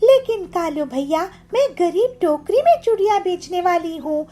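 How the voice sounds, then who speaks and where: A woman's voice: very high-pitched, animated, moderate pace, mellow, pitched above the performer's own voice. A woman voicing a poor, meek and devout female bangle seller in a cartoon, earnest and pleading.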